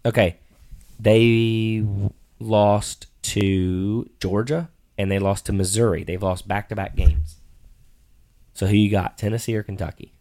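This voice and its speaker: A middle-aged father with a very deep, low voice runs the game picks in a casual chat. He is patient and matter-of-fact, with a dry, teasing edge. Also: steady tone, measured pace, warm tone, no room sound. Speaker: father co-hosting sports picks.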